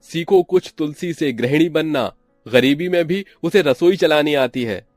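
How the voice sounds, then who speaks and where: A man's voice: on the high side, a little slow, darkish tone, no room sound, soft background music, close to an everyday voice. A man voicing a grumbling, exasperated man of the household in a cartoon story, with a complaining manner. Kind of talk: Hindi cartoon story acting.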